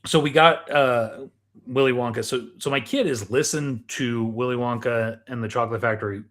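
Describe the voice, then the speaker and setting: A man's voice: mid-range voice, steady tone, normal speed, a bit bright. A middle-aged man, co-hosting a casual, chatty podcast with food tasting. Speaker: podcast co-host. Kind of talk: casual chat, snack tasting.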